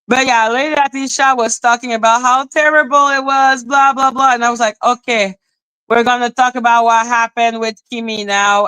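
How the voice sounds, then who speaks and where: Bright-voiced woman ranting. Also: on the high side, clear, resonant tone, no room sound, rough recording. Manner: sarcastic, exasperated, rambling. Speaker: livestream host. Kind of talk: ranting live-stream commentary.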